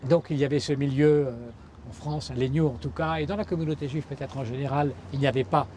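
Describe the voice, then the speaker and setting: Man, documentary interviewer, slightly high voice, long searching questions, faint street noise. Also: fairly even intonation, fast, darkish tone. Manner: long, searching questions, frequent murmured assent.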